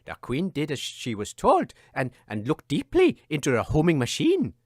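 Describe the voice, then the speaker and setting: A man's voice: on the high side, wildly swooping pitch, normal speed, darkish tone, no echo, studio quality. An actor, a man, playing an earnest, theatrical storyteller narrating a children's fairy tale for comedy.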